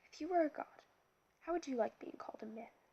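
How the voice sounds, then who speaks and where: A woman reading an audiobook, voicing a kindly, learned male teacher who speaks gently and with measured patience. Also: high-pitched, animated, dull audio, voice pushed up. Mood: gentle, earnest.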